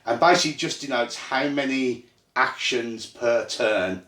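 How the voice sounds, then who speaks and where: A man from Ireland with a Birmingham accent, casually hosting a tabletop game night and explaining the rules in a halting, self-deprecating way with plenty of fillers. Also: mid-range voice, fairly bright tone. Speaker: host and game runner. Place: indoor room at a gaming table. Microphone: phone. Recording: echoey room on phone mic.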